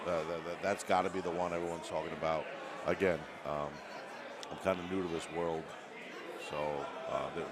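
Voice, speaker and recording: Low-voiced man commentating over loud crowd. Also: heavy background noise. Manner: relaxed, self-deprecating, frequent restarts and fillers. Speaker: volunteer commentator at a tournament. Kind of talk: casual live-stream commentary. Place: busy tournament venue full of players. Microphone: on camera at the event. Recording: loud crowd chatter behind.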